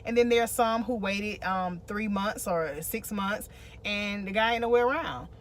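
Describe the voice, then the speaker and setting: A woman's voice: medium pitch, moderate pace, slight background noise. An American woman co-hosting a relationship vlog, giving casual advice and repeating phrases for emphasis.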